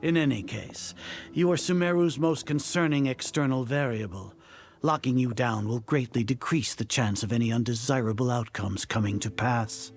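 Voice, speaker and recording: An actor playing a haughty, scheming older Grand Sage in a video game, speaking formally and condescendingly in a gravelly voice. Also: male voice, mid-range voice, soft background music, natural voice. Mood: coldly smug. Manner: pompous, condescending, formal and measured. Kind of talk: theatrical game voice acting.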